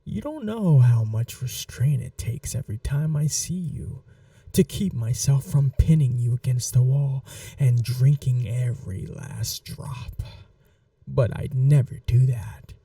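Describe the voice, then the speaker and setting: A possessive vampire mistress, acted by a woman for an audio roleplay, speaks very softly and darkly with a gravelly creak. She drawls slowly and coaxes with condescending, sweetly cruel amusement, often dropping to a whisper. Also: very deep voice, animated, no echo, recorded from a distance, heavily acted. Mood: possessive, adoring. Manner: condescending, purring, coaxing like an owner to a pet. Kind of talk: ASMR roleplay, slow intimate monologue.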